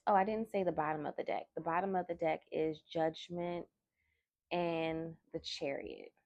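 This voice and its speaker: A soft-spoken woman doing a tarot card reading, talking in a slow, musing way. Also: low-pitched, steady tone, dry recording, dull audio. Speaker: tarot reader. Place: indoors at a table.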